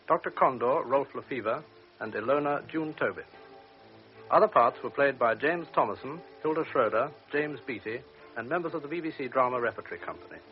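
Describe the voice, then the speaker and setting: A man reading the closing credits of a radio play in a crisp, formal manner. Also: medium pitch. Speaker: radio announcer. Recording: dull old radio recording with faint music.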